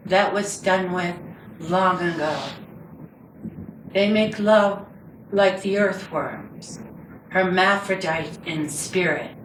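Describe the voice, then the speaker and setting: A woman reading her own poetry aloud live, with measured, deliberate and dramatic phrasing. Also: on the low side. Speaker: poet reading own work. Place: indoor reading before a group. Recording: roomy, distant mic with background noise.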